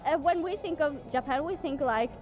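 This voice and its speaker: A woman's voice: high-pitched, fairly quick. A woman answering a street interview in a playful, joking way. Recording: muffled recording with loud music.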